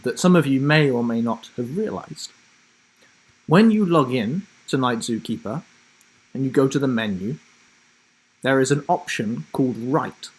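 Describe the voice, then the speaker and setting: Slightly husky man presenting to children. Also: mid-range voice, low-quality recording. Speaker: author reading own story on live broadcast. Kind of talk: friendly instructional chat and storybook reading for children.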